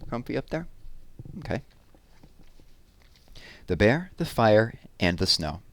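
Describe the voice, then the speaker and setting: A man reading children's poems and picture books aloud in an expressive, deliberate way, with conversational asides. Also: on the low side.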